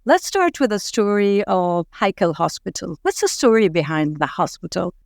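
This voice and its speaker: A woman's voice: mid-range voice, big pitch swings, moderate pace, gravelly, dry recording, clean studio sound. A woman hosting a podcast interview, eager and encouraging toward her guest. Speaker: podcast host.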